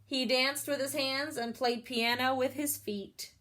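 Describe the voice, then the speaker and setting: High-voiced woman reading a children's picture book. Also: a little slow. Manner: expressive, explains feelings and puts questions to children. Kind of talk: read-aloud storytelling with asides to young listeners.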